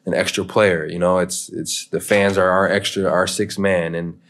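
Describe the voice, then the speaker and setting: A young American man with a very deep voice that barely rises or falls, so his lines come out low and level. He talks loosely and rambles, tossing in "man" and "you know" as he goes. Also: little pitch movement, normal speed, a bit bright. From United States. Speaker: interview guest, pro basketball player. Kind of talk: casual podcast chat.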